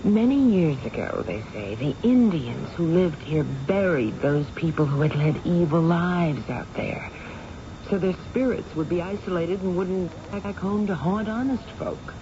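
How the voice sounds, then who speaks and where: An actress playing a plainspoken, uneasy small-town nurse in an old-time radio drama. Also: female voice, lowish voice, lively intonation, a little slow. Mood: uneasy, confiding. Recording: noisy, muffled old radio recording.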